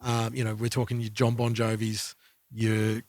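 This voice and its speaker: A man in a casual music discussion as a podcast co-host, speaking in a measured, hesitant, thoughtful way. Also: fairly low pitch, completely flat delivery, darkish tone, no echo, studio quality.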